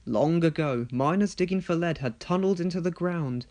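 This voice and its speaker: A man narrates a children's story from a script. His voice sits a little high for a man, and he delivers it as a storyteller. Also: normal speed, a little mellow.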